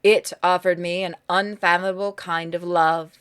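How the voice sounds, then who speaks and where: A woman giving dramatic scripted narration for a horror game, pausing for effect between phrases. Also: fairly low pitch, level tone, fairly bright tone, clean tone. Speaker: gaming channel narrator.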